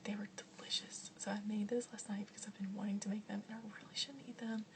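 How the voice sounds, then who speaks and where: A young woman whispering softly as she chats through a list of questions, with frequent ums and likes. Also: medium pitch, level tone, fast talker, gravelly, distant mic, a little hiss or hum. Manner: soft whispering, chatty, many ums and likes. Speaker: vlogger answering questions. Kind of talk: whispered ASMR Q&A.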